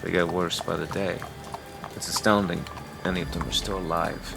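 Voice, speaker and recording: An actor playing a troubled, hesitant priest who narrates a dark fantasy audio drama. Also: male voice, low-pitched, steady tone, normal speed, gravelly. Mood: grave. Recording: echoey voice with music underneath.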